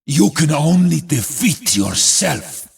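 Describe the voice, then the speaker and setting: A man voicing a grim, resolute warrior hero in a video game, raspy and gravelly, making weighty, defiant pronouncements. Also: a little high, big pitch swings, slow, exaggerated voice. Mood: solemn, defiant.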